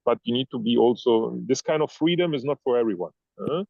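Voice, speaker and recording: A gravelly man with a mixed German-Italian accent, German being his first language. He rambles and hunts for words in a casual interview. The sound is dry and dull, like a lo-fi online call. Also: mid-range voice, expressive, a little fast, no echo. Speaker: guest. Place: Ibiza.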